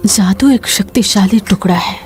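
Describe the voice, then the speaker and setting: An actress playing a guarded, secretive sorceress in a fantasy game dub, serious and hushed. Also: female voice, mid-range voice, moderate pace, soft background music, close to an everyday voice.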